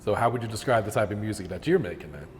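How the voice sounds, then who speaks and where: Fast, easygoing interviewer, roomy reverb, faint noise underneath. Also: low voice. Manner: relaxed, brief affirmations. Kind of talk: casual interview questions.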